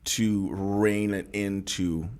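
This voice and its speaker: A man hosting a podcast in casual chat, playful and expressive, with a big trained singer's voice. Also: takes it slowly. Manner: expressive, playful, jumps into high squeals. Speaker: podcast host.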